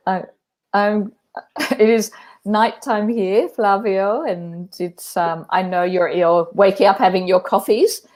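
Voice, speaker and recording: A woman with an Australian accent chatting on a video call, encouraging and quick to laugh. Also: medium pitch, fairly even intonation, darkish tone. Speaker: guest, artistic director. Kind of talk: live online conversation.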